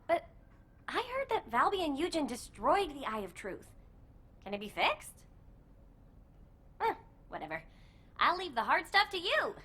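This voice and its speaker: A woman voicing an excitable, mischievous young soldier in a video game, brash, flippant and theatrical. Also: sits high, unhurried, long pauses, heavily acted. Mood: breezy. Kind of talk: animated video game voice acting.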